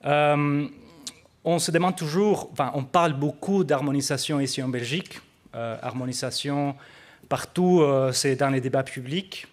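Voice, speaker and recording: A man's voice: medium pitch, moderate pace. A man, not a native speaker of the language he speaks, giving a conference presentation as a researcher, hesitant and full of fillers. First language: not French. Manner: hesitant with many fillers, careful phrasing. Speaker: researcher presenting at a study day. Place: conference room.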